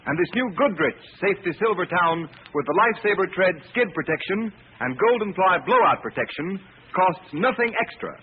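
A man's voice: high voice, lively intonation, normal speed. A man announcing a scripted 1930s radio advertisement with an emphatic, earnest sales delivery. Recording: tinny, faintly noisy old radio recording.

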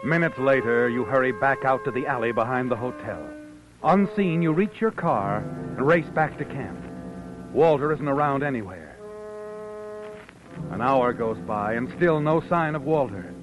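An actor playing a knowing, insinuating narrator who taunts like a conscience, in dramatic 1940s radio suspense style. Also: male voice, medium pitch, expressive, measured pace, natural voice. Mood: knowing, ominous. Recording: dull old radio broadcast with music.

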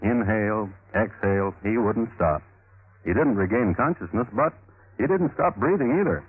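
A man acting as a wry, deadpan storyteller of historical crimes, narrating a classic radio drama with dry irony. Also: fairly low pitch, normal speed, husky. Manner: deadpan, dryly ironic. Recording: tinny old radio recording with loud music.

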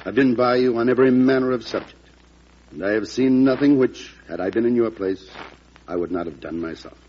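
Actor as a solemn, formal defense lawyer, muffled. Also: male voice, medium pitch, lightly acted. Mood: earnest, solemn. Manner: formal, measured, oratorical. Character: solemn, loyal male defense lawyer to the president. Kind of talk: old-time radio drama acting. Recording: muffled, noisy vintage radio recording.

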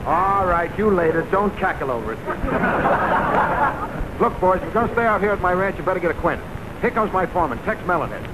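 An actor playing a jovial, bossy uncle who owns a ranch, in 1940s radio comedy style. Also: male voice, sits high, lively intonation, fairly quick, pauses often. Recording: very noisy old radio recording.

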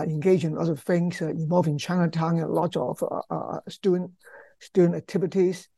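An older man with a Hong Kong accent, a professor speaking conversationally in an interview, halting and repeating words. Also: high-pitched, vocal fry, mellow, no echo. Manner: halting, repeats words, non-native English phrasing. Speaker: history professor, author being interviewed.

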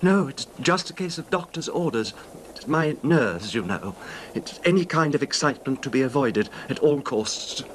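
A man's voice: lively intonation, normal speed, natural voice. An actor playing a nervous, apologetic, well-off little man in a radio drama, speaking tentatively and deferentially. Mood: meek, wistful. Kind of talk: old-time radio horror drama acting. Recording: noisy radio recording, train rumble.

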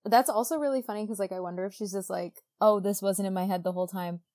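A woman's voice: mid-range voice, steady tone, quick pace, dark tone. A woman co-hosting a podcast, recapping a book casually and acting out dialogue in informal modern slang. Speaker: podcast co-host.

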